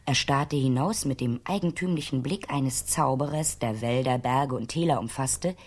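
A woman's voice: rumbling low, normal speed, a little mellow. A woman narrating a radio play as a wry storyteller, reading from a script.

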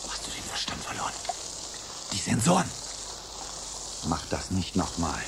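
A man's voice: low voice, huge pitch swings, moderate pace, background noise. A man acting in a radio play as a patient, long-suffering resident of a domed future colony, pleading and theatrical. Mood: urgent.

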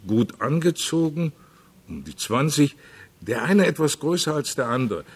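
A chatty gravelly cab driver guy, plain talk, voice goes up and down, radio drama. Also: on the high side, sing-song, measured pace, full character voice. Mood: eager, chatty. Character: talkative working-class Sicilian-born taxi driver giving testimony. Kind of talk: radio play acting.

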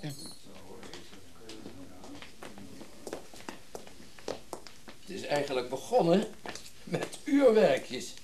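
An actor playing a wealthy, self-important businessman and collector in a Dutch radio drama, brisk and commanding. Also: a little high, very animated, heavy background noise. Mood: businesslike.

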